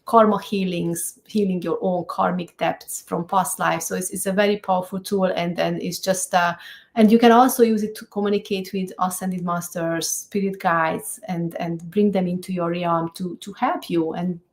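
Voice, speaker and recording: A woman's voice: on the low side, fairly even intonation, moderate pace. A middle-aged woman from Hungary with a Hungarian accent, speaking as a guest in a conversational interview, earnest and a little loose with her grammar, with many fillers. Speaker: guest healer.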